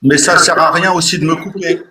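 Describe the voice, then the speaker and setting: A man's voice: on the high side, steady tone, brisk, sharp tone. A man from Portugal in a heated live political argument, ranting and combative, repeatedly demanding not to be interrupted. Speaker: live debate participant.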